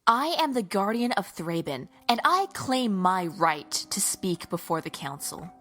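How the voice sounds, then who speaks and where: A woman's voice: mid-range voice, sing-song, measured pace, a bit bright. A woman playing a stern, defiant holy warrior in a fantasy audio drama, speaking formally and resolutely. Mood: defiant, accusing.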